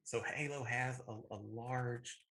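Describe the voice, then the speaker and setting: A man's voice: mid-range voice, fairly even intonation, unhurried, sharp tone, a little hiss or hum. A man co-hosting a movie talk show, chatting casually. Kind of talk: casual chat.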